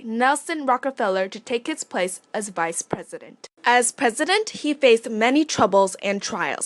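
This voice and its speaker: A woman's voice: a little high, a bit bright, no echo. A woman reading scripted historical narration.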